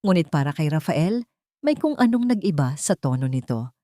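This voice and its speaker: A woman's voice: deep voice, very animated, moderate pace, mellow, no echo, studio quality. A woman reading a scripted dramatic story as its narrator.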